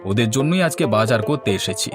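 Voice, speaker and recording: A man's voice: mid-range voice, animated, fast talker, lightly acted. A man voicing a worrying, big-eating village husband and uncle in a cartoon. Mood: fretful, chatty. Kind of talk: cartoon dialogue. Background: instrumental music.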